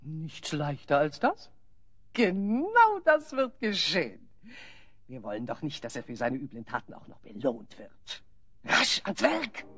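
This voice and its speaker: Vengeful, imperious magic-wielder, audio drama: gravelly, husky, theatrical. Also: male voice, a little high, wildly swooping pitch, a little slow, fairly bright tone, no echo, clean studio sound, exaggerated voice. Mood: gloating, commanding. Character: scheming, vengeful magic-wielder plotting spells. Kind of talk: German children's audio drama acting.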